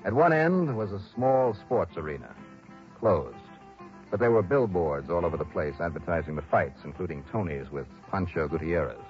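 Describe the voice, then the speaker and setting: A dull vintage radio broadcast with faint music carries a wry, brisk insurance investigator. His voice sits low. He delivers his account in punchy, hardboiled style, clipped and dramatic. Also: animated. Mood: dry, matter-of-fact. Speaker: lead actor and narrator of a radio detective series. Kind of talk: 1960s radio drama acting, hardboiled narration.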